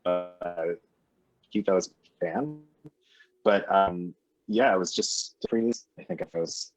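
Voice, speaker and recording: A man's voice: sits low, takes it slowly. A man speaking as an actor on a panel, casual and reflective, picking his phrases thoughtfully. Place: remote video call. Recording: dull, lo-fi video call.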